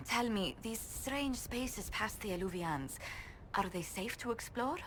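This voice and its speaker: A refined, courteous diplomat. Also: female voice, on the high side, expressive, measured pace, a bit bright, slight background noise. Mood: concerned. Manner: formal, considerate. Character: polite, caring, refined female diplomat. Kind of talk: video game character dialogue.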